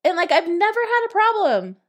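A woman in her thirties co-hosts a relaxed, conversational podcast. Her pitch moves around a lot as she talks, giving her casual delivery a lively melody. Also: a little fast. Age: 30s. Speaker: podcast co-host. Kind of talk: casual chat.

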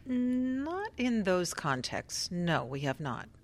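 A woman acting in a radio drama as a composed, insistent researcher who questions in a measured, pressing way. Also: on the low side, sing-song. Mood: cool, controlled. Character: probing, composed, insistent female researcher conducting an interrogation. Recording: music behind.

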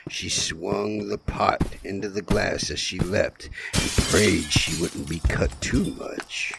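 A man's voice: sits low, takes it slowly, full character voice. A man playing a folksy, wry gravedigger who narrates small-town horror tales in an audio play. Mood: ominous storytelling. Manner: wry, theatrical. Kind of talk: scripted horror storytelling. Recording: clearly noisy recording.

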